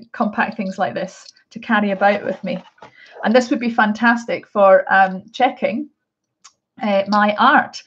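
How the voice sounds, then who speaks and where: A woman's voice: fairly high pitch, lo-fi sound. A middle-aged Scottish woman chatting casually through a live unboxing, with many hesitations and restarts. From Scotland. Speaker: art vlogger.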